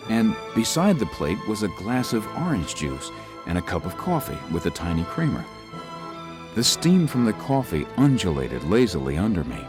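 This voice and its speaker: A male actor as a young lawyer recounts an audio ghost story in a very low voice. His measured, literary delivery swings widely in pitch for drama, growing wary and uneasy. Faint music runs underneath. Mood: unsettled, wary. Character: young lawyer narrating his eerie stay, uneasy, athletic man. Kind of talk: scripted first-person ghost story narration.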